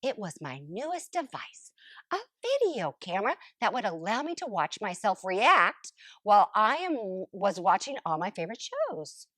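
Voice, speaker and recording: A woman reading a children's picture book aloud like a school librarian, with dramatic pauses and stretched words. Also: mid-range voice, big pitch swings, a bit bright, no echo, clean studio sound.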